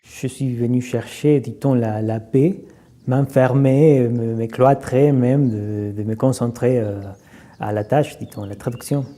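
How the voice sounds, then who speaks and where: Halting man with a dark voice. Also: medium pitch, steady tone. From Argentina. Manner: halting, many fillers and repeated words. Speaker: literary translator. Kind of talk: reflective interview.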